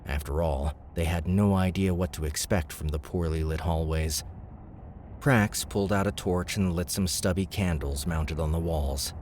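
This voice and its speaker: A man's voice: low voice, normal speed, darkish tone, a little hiss or hum. A man narrating scripted fantasy fiction as an audiobook reader.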